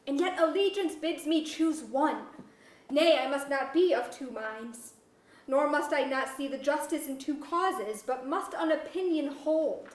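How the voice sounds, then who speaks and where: A woman's voice: high voice, a little slow. A woman playing a brooding, genderless magical royal in archaic verse at a staged reading. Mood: anguished, questioning. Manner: grand archaic verse delivery, self-questioning. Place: museum auditorium. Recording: distant microphone in echoey auditorium.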